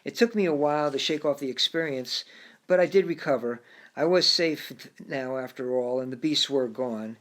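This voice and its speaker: A man in the middle of the usual pitch range reads a first-person story aloud. His delivery is reflective and plain, and he now and then stumbles and restarts a phrase. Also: a bit bright. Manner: reflective, with occasional halting restarts. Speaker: narrator. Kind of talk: first-person audiobook narration.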